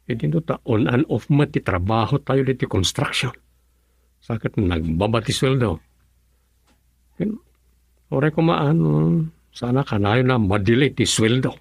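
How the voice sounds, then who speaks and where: An easygoing husband with no money, a laborer who brushes off worry. His voice has a gravelly fry and a middling pitch. It is recorded close and dry for a radio play. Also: expressive, a little slow, long pauses, darkish tone, no echo, exaggerated voice. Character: broke construction-worker husband, easygoing. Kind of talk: radio drama acting.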